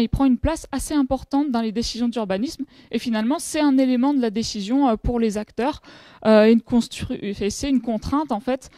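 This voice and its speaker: A woman's voice: fairly high pitch, mellow, no room sound. A woman in her thirties giving a conference talk at a panel table, explaining with frequent hesitations and restarts. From France. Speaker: academic conference speaker. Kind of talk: conference presentation.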